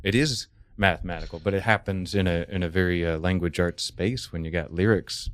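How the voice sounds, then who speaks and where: A man's voice: sits low, brisk, no room sound, clean studio sound. A man chatting as a comedy guest in a whimsical, musing way, fond of wordplay. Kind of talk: whimsical casual comedy chat.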